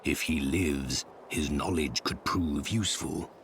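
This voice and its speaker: Very deep, slow, stoic warrior hero. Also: male voice, heavy background noise. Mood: stern, resolute. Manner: terse, solemn, formal. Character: stern, stoic male warrior hero, grim and resolute. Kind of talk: dramatic fantasy game acting.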